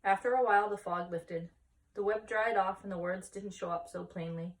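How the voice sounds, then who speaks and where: A woman reads scripted children's fiction aloud as a story-time narrator. Her pitch is average for a woman, and the voice sits somewhat distant from the microphone. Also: fairly even intonation, moderate pace. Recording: voice distant from the mic.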